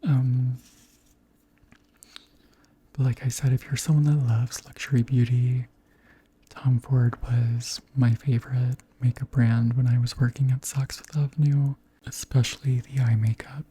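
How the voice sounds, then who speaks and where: A beauty creator around thirty speaking softly in a whispery, breathy voice, gently chatting through products in an unhurried ASMR style. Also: mid-range voice, little pitch movement, slight background noise. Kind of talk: soft-spoken ASMR chat and product show-and-tell.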